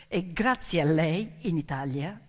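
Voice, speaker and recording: A woman performing a dramatic theatrical storytelling monologue, emphatic and moving between hushed and declaimed delivery. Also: lowish voice, expressive, unhurried. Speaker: stage actress and storyteller. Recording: long reverberant hall with music. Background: piano music.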